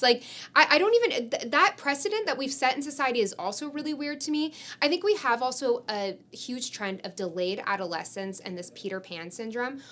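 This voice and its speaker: A woman's voice: fairly high pitch, a bit bright. Emphatic young woman podcaster. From San Francisco Bay Area, United States. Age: 20s. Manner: emphatic, informal, uses "like" often. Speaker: podcast co-host. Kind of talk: opinionated conversational podcast talk.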